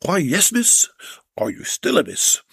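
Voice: mid-range voice, wildly swooping pitch, measured pace, gravelly, a bit bright, husky, no room sound, voice pushed up, heavily acted. A reader voicing a tallish, apologetic male plumber in a comic storybook, with an earnest, fawning delivery. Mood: eager. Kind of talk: storybook character voice.

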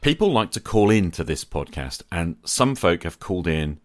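A middle-aged man hosting a podcast, delivering a reflective scripted monologue in a measured, earnest way with rhetorical questions. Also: low-pitched, sing-song, over loud music. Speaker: podcast host.

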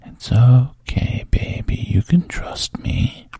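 Coaxing hushed man, breathy and gravelly, low dry whisper, as a narrator puts him on. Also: lively intonation, slow, no echo, heavily acted. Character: soft-spoken coaxing adult man.

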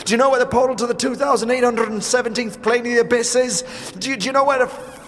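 A man's voice: very high voice, fairly bright tone, big-room sound, slight background noise. A man playing a crotchety, grieving old woman in an audio drama, rambling and scolding in rustic speech. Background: music.

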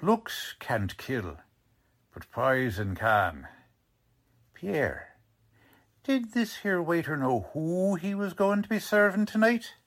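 A man's voice: a little high, sing-song, slow pace, long pauses, a bit bright, exaggerated voice. A man playing a stubborn, cocksure working-class police lieutenant in an old-time radio drama, talking in tough, ungrammatical slang. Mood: sarcastic, accusing.

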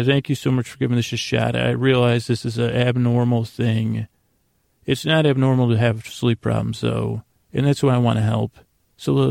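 Male podcast host, middle-aged, gravelly fry, halting lulling bedtime rambles, dry intimate recording. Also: medium pitch, darkish tone, dry recording. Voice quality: gravelly, creaky. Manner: meandering, halting, repeats and trails off; lulling, soothing, friendly tones. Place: small closet full of clothes, indoors.

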